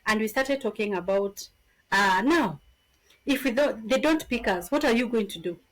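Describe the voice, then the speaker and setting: A woman in her thirties from Kenya with a Kenyan accent, a podcast guest telling her story in an animated, casual way. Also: a little high, normal speed, poor audio. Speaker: podcast guest, business founder.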